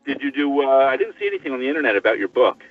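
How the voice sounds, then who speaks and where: A man's voice: mid-range voice, lively intonation, very fast. An older man chatting casually and giving advice in a blunt, coaxing manner. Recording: tinny phone-like line, distant, music behind.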